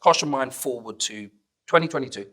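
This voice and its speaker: A middle-aged man of Caribbean heritage hosting an interview in a dark studio, playful and teasing, on a lapel mic. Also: medium pitch, lively intonation, a little fast, slight background noise. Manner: playful and teasing, laughs often. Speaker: interview host. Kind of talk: casual interview chat. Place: dark studio set, indoors.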